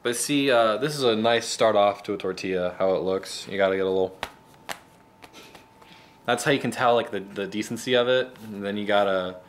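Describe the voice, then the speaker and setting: A man, hosting a mock cooking tutorial in a halting, deadpan way. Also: fairly low pitch. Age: 20s. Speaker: host of a comedic cooking segment. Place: home kitchen indoors. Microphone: camera mic held by another person nearby. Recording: noisy camera audio, room noise.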